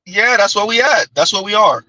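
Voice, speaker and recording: A high-pitched man with a very bright, cutting voice, recorded close and dry. He hosts a livestream in a loose, chatty way, reading viewer comments and talking back to them with frequent laughs. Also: animated, fairly quick, no echo, low-quality recording. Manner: laughs often, talks back to chat. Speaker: livestream host. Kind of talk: casual commentary reading chat.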